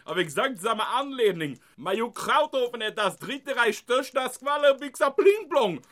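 High-voiced, agitated German military commandant. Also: male voice, lively intonation, a bit bright. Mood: agitated, insistent. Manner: emphatic, formal, sprinkles in German words. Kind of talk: comic audio drama acting.

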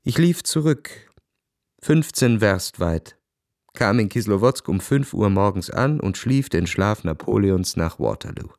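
A man's voice: on the low side, big pitch swings, measured pace, gravelly, a little mellow, no echo, studio quality, full character voice. An actor playing a proud, world-weary young Russian officer who narrates his own story dramatically in a radio play. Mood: bleak, ironic.

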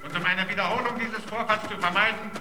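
A man plays a cold, commanding official in a radio drama. He announces orders formally in a high voice, heavily echoed, with noise behind. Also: fairly even intonation, quick pace, close to an everyday voice. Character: cold, commanding male official of a protection committee. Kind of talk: German radio drama acting.

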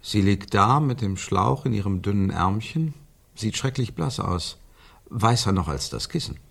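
Husky, low-voiced insistent commentator. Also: male voice, lively intonation, unhurried, a bit bright, heavily acted. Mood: grim. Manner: emphatic, repetitive phrasing. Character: male commentator picturing a woman's life, brisk and insistent. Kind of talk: German radio play, stylised dialogue.

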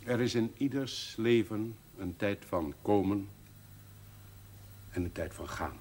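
An actor playing a proud older brewery director, giving a formal, solemn jubilee speech with emphatic swells in a period television drama. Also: male voice, lowish voice, takes it slowly, darkish tone, slight background noise. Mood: grave, moved.

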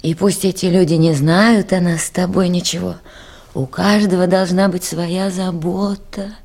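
A woman's voice: lowish voice, steady tone, measured pace, a little mellow. An actress playing a caring but anxious woman who runs a river station, in Soviet radio drama style. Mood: tender, reflective.

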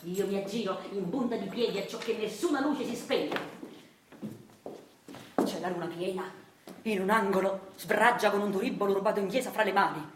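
A woman playing a self-styled intellectual husband who narrates a radio drama theatrically and deliberately, with a Neapolitan accent. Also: mid-range voice, echoey room, background noise, close to an everyday voice. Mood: hushed suspense. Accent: southern Italian, Neapolitan. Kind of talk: theatrical scripted storytelling.

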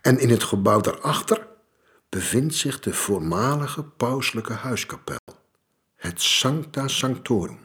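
An actor playing a kindly art historian explaining things to a small child in a radio drama. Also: male voice, mid-range voice, very animated, measured pace. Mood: gentle. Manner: gentle, explanatory.

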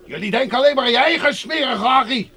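An actor playing an angry, accusing agitator who rants coarsely in a radio drama. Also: male voice, very high-pitched, fast, pushed and bright, breathy edge, exaggerated voice. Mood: furious, accusing. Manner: ranting, accusing, coarse-mouthed. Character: angry sighted agitator shouting at the gate for the blind. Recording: noisy radio play recording.

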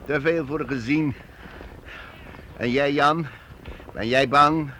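An actor playing a seasoned wandering master in a radio play, a wry and commanding leader who speaks emphatically. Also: male voice, fairly high pitch, takes it slowly, pushed and bright, a little hiss or hum. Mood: wry, teasing. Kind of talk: radio drama acting.

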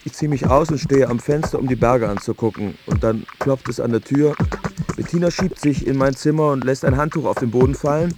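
Young man, played by an actor, radio drama narrator, matter-of-fact, bleak tragic storytelling, mid pitch, clear music behind. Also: a little fast, darkish tone, close to an everyday voice. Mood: confessional.

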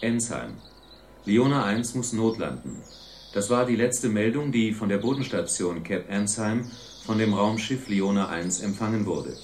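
An actor playing a formal radio newsreader in a radio play. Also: male voice, lowish voice, expressive, unhurried, darkish tone, slight background noise.

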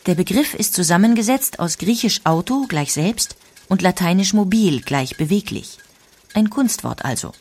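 A woman reads scripted documentary narration. Her voice lies a little lower than usual for a woman. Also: animated, moderate pace, a little mellow.

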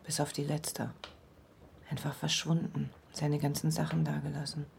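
A woman's voice: flat delivery, normal speed, darkish tone, a little hiss or hum. An actress playing a forthright middle-aged widowed landlady in an intimate radio play. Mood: confiding. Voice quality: low, husky, creaky. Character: forthright widowed landlady, fond of rum tea.

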